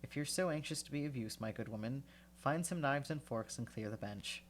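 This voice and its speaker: A man's voice: mid-range voice, fairly quick, a little hiss or hum, pitched above the performer's own voice, natural voice. A man with a congested voice reading the lines of a flamboyant, vain young male wizard in a lightly raised, airy tone. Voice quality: congested, sniffly.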